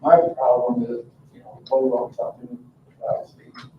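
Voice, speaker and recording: A man's voice: medium pitch, little pitch movement, moderate pace. A plain-spoken man making casual, folksy remarks as a board member at a meeting. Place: indoor public meeting room. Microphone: distant room microphone. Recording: roomy, distant, muffled, faint noise.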